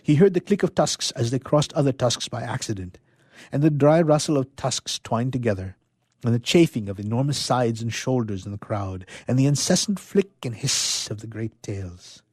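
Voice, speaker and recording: Husky-voiced man reading a story aloud. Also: mid-range voice, lively intonation, normal speed, a little mellow, no echo, clean studio sound. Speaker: storyteller, reader. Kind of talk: dramatic storybook narration.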